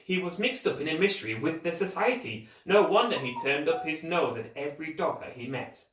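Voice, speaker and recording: A man reads a children's story aloud like a teacher. His narration rises and falls with lots of expression, at a middling pitch. The sound is roomy, picked up some way from the microphone. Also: lively intonation, brisk, a bit bright, slight background noise. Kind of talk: animated storytelling, scripted narration. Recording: roomy echo, distant microphone.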